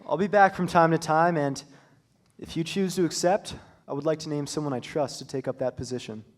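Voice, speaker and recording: A mild-mannered school dean speaks haltingly, with small filler pauses, played by a young man. His voice sits a little high, in the plain style of a staged reading. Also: steady tone, lightly acted. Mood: earnest. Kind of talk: amateur staged-reading acting.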